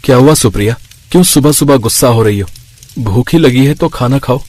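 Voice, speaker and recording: A man playing a placating, scheming village husband with a slightly husky voice in a narrated story. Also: mid-range voice, a little fast, darkish tone, natural voice. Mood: concerned. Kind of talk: acted dialogue in a narrated story.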